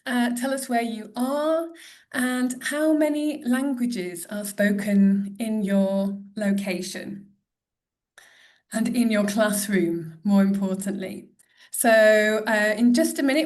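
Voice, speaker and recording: A woman in her thirties hosting an online teaching webinar over a video call, upbeat and apologetic about a late start. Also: mid-range voice. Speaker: webinar host.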